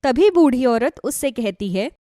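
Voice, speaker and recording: A woman reads a scripted children's story as narrator. Her pitch is on the high side and swings expressively. The recording is dry. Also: animated, measured pace, mellow, no echo.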